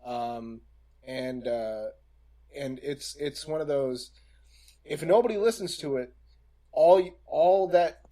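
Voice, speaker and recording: A young man talking casually as a podcast panelist, reflective and halting, repeating phrases while he builds a point. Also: on the high side, warm tone.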